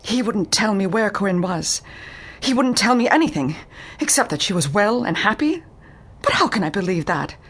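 An actress playing a timid, refined young woman client in a detective audio drama, speaking in a halting, pleading manner. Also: medium pitch, expressive, fairly bright tone, husky. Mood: distressed, pleading.

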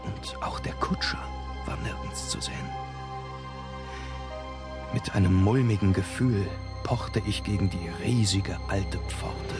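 A man narrating a horror audiobook in the first person, measured and suspenseful. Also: sits low, fairly even intonation. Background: prominent music.

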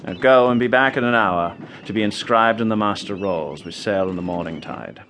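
A man's voice: lowish voice, fairly quick, noisy background, natural voice. A man playing a curt steamer captain. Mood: stern. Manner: curt, commanding. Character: stern brisk male steamer captain. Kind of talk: radio drama acting.

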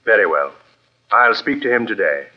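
Gruff senior doctor, lowish voice, reluctant, vintage radio play, muffled. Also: male voice, big pitch swings, normal speed, soft background music. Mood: reluctant, dry. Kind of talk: old radio drama acting. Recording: dull vintage radio recording.

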